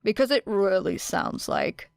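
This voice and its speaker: A woman voicing a sardonic teenage boy who teases in a deadpan way. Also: medium pitch, a little slow, creaky, dry recording. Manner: deadpan, teasing. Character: dry sardonic teenage boy hero student. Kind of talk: audiobook character reading.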